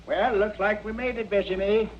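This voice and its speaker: An actor playing a scrawny old trail drifter, blustery and sly, with a rural American accent in a radio western. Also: male voice, high voice, steady tone, moderate pace, lightly acted. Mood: brash, combative. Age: older. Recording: muffled, noisy old radio recording.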